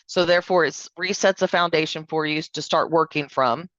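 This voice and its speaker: A woman, hoarse from allergies, explaining a proposal step by step over a video call. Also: low voice, fairly even intonation, normal speed, a bit bright, dry recording, highs cut off. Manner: explanatory, step-by-step, uses fillers. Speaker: code publishing vendor presenting remotely. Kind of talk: remote business presentation.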